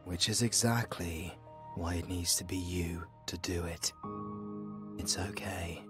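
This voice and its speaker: A man playing a noble, resigned demon hunter in a fantasy audio drama, solemn and measured. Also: sits low, steady tone, music in the background. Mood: gentle, resolute. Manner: solemn, measured, hushed at times.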